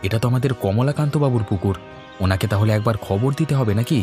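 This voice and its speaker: Man playing a lowish-voiced, inquisitive village man. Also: fast, warm tone, soft background music, close to an everyday voice. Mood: puzzled. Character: village men, mild, inquisitive and puzzled. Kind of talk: cartoon voice acting.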